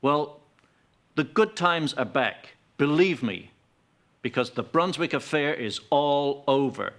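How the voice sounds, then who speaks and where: An actor playing a male television spokesman delivering a reassuring sales pitch in an animated film. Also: slow pace, exaggerated voice.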